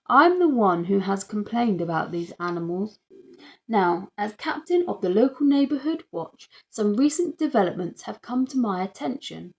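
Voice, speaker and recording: A woman's voice: medium pitch, animated, moderate pace, close to an everyday voice, rough recording. A woman voicing a pompous, formal male neighbour who captains the neighbourhood watch, in a children's audiobook. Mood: pompous, polite.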